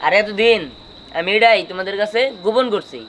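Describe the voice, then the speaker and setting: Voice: medium pitch, a little fast, a bit bright, a little hiss or hum, natural voice. An actor playing a clever, confident child magician's apprentice, explaining in a declamatory way in a rural Bangladeshi dialect. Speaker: actor in a family comedy film. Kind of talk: staged folk-tale film dialogue. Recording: music bed under dialogue.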